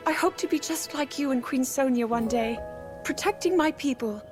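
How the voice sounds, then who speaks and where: High-voiced earnest young fantasy princess played by an actress. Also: female voice, normal speed, over music, natural voice. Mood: heartfelt. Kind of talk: fantasy video game cutscene acting.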